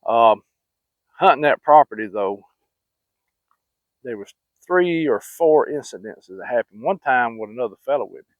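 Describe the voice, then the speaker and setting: A middle-aged man rambles through a hunting story in a folksy way, full of fillers. His voice sits at an ordinary pitch. The sound is narrow and phone-like, with no room echo at all. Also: animated, normal speed, pauses often. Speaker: channel host telling hunting stories. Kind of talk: casual storytelling.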